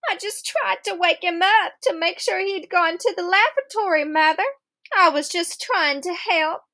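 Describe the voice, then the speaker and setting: A woman's voice: very high voice, moderate pace, sharp tone, pitched above the performer's own voice, full character voice. A woman voicing a whiny, sneaky twelve-year-old boy who plays the pitiful victim in a storybook. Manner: gasping, whimpering, self-righteous.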